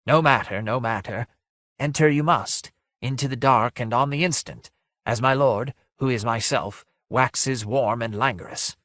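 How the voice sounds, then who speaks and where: A man voicing a sad, menacing phantom of terror in a fantasy story, with a soft, breathless, quavering, sighing voice and archaic, ornate speech. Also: medium pitch, measured pace, dry recording, studio quality, voice pushed up, natural voice. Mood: insistent, menacing.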